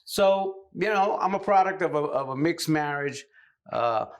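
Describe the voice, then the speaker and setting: A man's voice: fairly high pitch, expressive, a little slow, a loud crowd. A middle-aged man from New City, a stand-up comedian guest telling wry anecdotes that land on punchlines. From New York City. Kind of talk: casual comedic storytelling.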